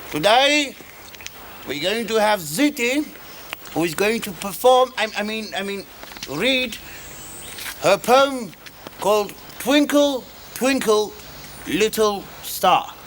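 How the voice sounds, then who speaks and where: A man's voice: sits high, lively intonation, slow, long pauses, fairly bright tone, full character voice. An actor playing a dim but eager, good-natured South African postman in a children's show, earnest and stumbling over his words. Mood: proudly formal. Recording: very noisy background.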